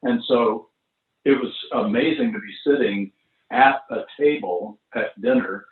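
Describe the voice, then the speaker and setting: A man in his seventies or older telling personal stories casually, in an unhurried, halting, anecdotal way, over roomy, distant, thin internet audio. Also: medium pitch, narrow, tinny audio. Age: 70s or older. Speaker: veteran performer telling stories. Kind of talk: casual reminiscing at an online launch party.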